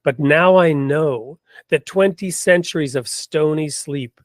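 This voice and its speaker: A man hosting a discussion, speaking in a deliberate, reflective way with emphatic phrasing as he talks and recites. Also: a little high, no echo, studio quality. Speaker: host. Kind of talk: conversational hosting with poetry reading.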